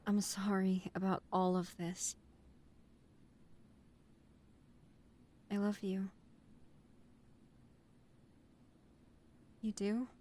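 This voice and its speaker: A woman's voice: mid-range voice, level tone, moderate pace, darkish tone, lightly acted. A woman playing an earnest, devoted new mafia recruit and loving partner in a romantic audio roleplay. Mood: worried, loving. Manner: earnest, emotional, polite to superiors.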